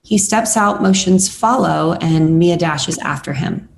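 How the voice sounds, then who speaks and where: A woman's voice: on the low side, unhurried, gravelly. A woman reading screenplay stage directions as scripted narration at a table read.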